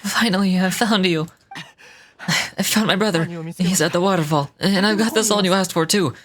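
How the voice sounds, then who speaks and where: A woman voicing an excitable, eager villager in a video game, calling out in an urgent, exclamatory way. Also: mid-range voice, moderate pace, a crowd in the background, voice pushed down, lightly acted. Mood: urgent, relieved. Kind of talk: video game dialogue read aloud.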